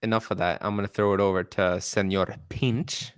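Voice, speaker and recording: A man's voice: on the low side, steady tone, normal speed, clean tone, no room sound, clean studio sound. A man co-hosting a casual podcast chat, loose and rambling, laughing and slipping into Spanish. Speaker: podcast co-host.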